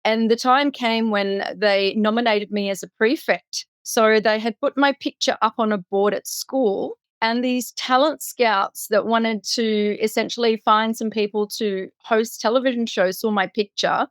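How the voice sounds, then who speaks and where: A woman's voice: a little high, fairly even intonation, unhurried, hardly any pauses, dry recording. A middle-aged woman from Singapore telling her personal story as an interview guest, articulate and reflective. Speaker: podcast guest.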